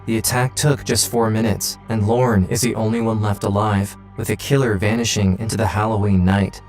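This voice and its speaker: Low-voiced man narrating true crime. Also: steady tone, a little fast, darkish tone, soft background music. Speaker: documentary narrator.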